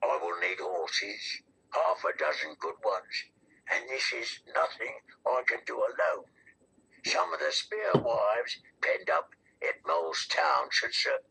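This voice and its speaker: A man voicing a sly, mocking wildling in a fantasy audiobook, with a low, gravelly, taunting delivery. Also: big pitch swings, sharp tone, heavily acted, low-quality recording. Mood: scheming. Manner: taunting, unhurried. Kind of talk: fantasy audiobook character reading.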